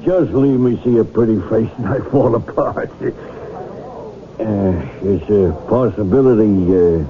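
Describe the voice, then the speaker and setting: A man playing a bashful, soft-hearted cop. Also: fairly low pitch, measured pace, lightly acted. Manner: bashful, easily swayed. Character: flirtatious soft-hearted male police officer. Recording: tinny lo-fi radio broadcast.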